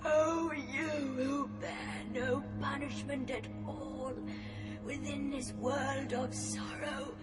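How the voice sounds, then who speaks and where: A woman playing a parched, tormented soul in an audio drama, lamenting mournfully in a drawn-out, theatrical way. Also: sits high, little pitch movement. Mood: anguished, longing. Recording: distant echoey voice under loud music.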